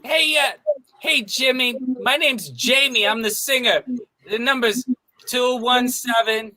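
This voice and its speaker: A woman's voice: fairly high pitch, measured pace, bright, forceful tone, no echo, poor audio. A woman playing a singer who puts on a tough, casual New York accent for improvised comedy. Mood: casual, insistent. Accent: put-on New York.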